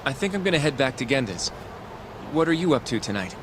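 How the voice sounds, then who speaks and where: A man's voice: medium pitch, big pitch swings, fast talker, background noise, lightly acted. A man acting an earnest, polite young lawyer in an English video game dub. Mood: friendly. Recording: faint music behind the voice.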